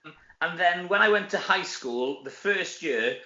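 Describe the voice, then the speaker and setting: Bright-voiced Welsh man in a roomy space. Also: sits high, animated, unhurried. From Wales. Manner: chatty, self-deprecating, often tags sentences with "you know". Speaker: actor and comedy performer, interview guest. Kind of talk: casual anecdotal interview chat. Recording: roomy echo, dull lo-fi sound.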